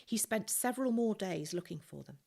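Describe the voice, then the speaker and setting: A woman teacher reading a children's novel aloud, calm and measured. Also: mid-range voice, creaky, slightly rough. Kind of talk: storybook reading.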